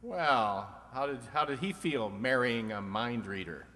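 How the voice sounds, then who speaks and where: Man playing a bright, wisecracking radio quiz host. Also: medium pitch, animated, measured pace. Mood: wry. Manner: dry wisecracking, teasing questions. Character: wisecracking male quiz show host, sly and teasing. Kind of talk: comic quiz show banter, old-time radio re-enactment. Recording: long hall reverb.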